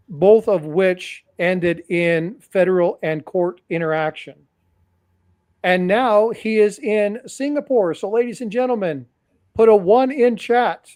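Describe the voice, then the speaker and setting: High-pitched guy doing a live show, dry sound, keeps warning viewers and repeating himself. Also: darkish tone, dry recording. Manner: cautionary, addresses audience directly, repeats phrases. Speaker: host. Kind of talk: livestream commentary.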